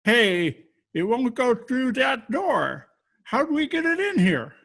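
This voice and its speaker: A man playing a gruff, stubborn working-class piano mover in an old-time radio comedy. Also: very high-pitched, big pitch swings, takes it slowly, fairly bright tone, full character voice. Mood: insistent.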